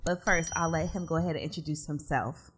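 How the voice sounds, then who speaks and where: A woman's voice: low-pitched, fairly quick, over loud music. A woman hosting a podcast interview, friendly and encouraging, often affirming her guest. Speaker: podcast host.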